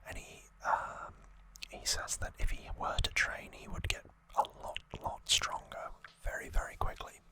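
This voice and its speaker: An ASMR host whispering a conversational recap in a breathy, unvoiced, hushed voice. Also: unhurried, hardly any pauses.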